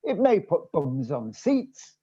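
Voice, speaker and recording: A pompous actor of the old stage, performed by a woman, savouring each phrase slowly. The voice is low for a woman and rises and falls dramatically. Speech is ornate and declamatory, on a dull online call recording. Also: sing-song, warm tone, slightly rough, full character voice. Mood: haughty. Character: pompous theatrical thespian man named Roger, lofty and grandiose. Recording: muffled online call audio.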